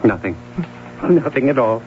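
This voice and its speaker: A man's voice: mid-range voice, lively intonation, normal speed, natural voice. An actor playing a hesitant, defensive middle-aged father in a radio mystery drama. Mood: guarded. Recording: dull, hissy old radio recording.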